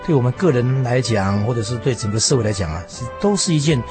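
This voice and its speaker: A man's voice: mid-range voice, expressive, quick pace. Male preacher explaining scripture, music behind. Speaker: preacher, interview guest.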